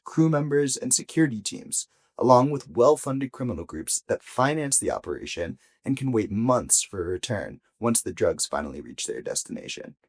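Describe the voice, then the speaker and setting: A man narrates a scripted investigative report in a mid-pitched, slightly rough and husky voice. His intonation moves in a lively way across each sentence. He phrases things deliberately, pausing to set off key points as a journalist would. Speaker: journalist reading own investigation. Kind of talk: scripted audio news narration.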